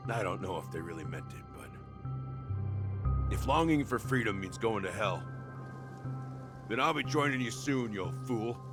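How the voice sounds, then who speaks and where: A man's voice: fairly low pitch, animated, moderate pace, pauses often, natural voice. An actor playing a wry, world-weary male outcast in a game, musing in a drawl as if to an old comrade. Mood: bittersweet, sardonic. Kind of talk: dramatic game monologue. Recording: clear music behind the voice. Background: music.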